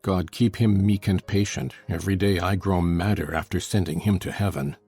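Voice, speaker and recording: A man's voice: low voice, normal speed, darkish tone, slightly rough, soft background music. A man playing a brooding, bitter, vengeful villain who growls his scornful lines in a dramatized classic novel. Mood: bitter sarcasm.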